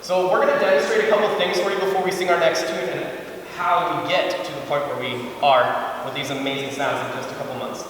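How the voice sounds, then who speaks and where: Man, chorus teacher, getting over a cold, fairly high voice, distant in a big echoing hall. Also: fairly even intonation, quick pace, a bit bright. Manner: enthusiastic, proud, demonstrates by singing. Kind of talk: explaining to a concert audience. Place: school concert hall. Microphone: far from the mic. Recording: echoey hall from far off, faint hiss.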